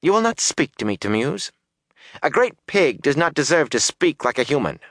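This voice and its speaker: Man putting on an irate, imperious woman, pitched way up. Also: very animated, normal speed, bright, forceful tone, no echo, studio quality, full character voice. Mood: contemptuous. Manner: haughty, stilted foreign-style phrasing. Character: irate, imperious woman scolding her underling.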